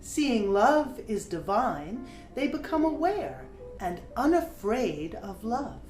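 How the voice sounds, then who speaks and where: An actress as a reflective woman poet looking back on her life in an audio book drama. She recites verse slowly and deliberately. The voice rings in a roomy space, with instrumental music underneath. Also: fairly high pitch, very animated, a little mellow. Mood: reflective, tender. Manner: measured, deliberate phrasing. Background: musical instrument playing.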